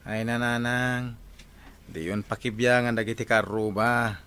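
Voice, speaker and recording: A man playing a weary, mildly exasperated young schoolteacher son in a radio drama, expressive and complaining. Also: mid-range voice, a little slow, clean tone, close to an everyday voice. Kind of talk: radio drama acting in rural family comedy.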